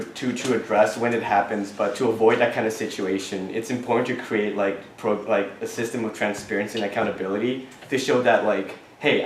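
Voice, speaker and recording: A man's voice: on the low side, a little hiss or hum. Earnest young man in an echoey classroom. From Thailand. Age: young adult. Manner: earnest, halting, many restarts and fillers. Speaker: student board candidate. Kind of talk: answering questions at an election forum.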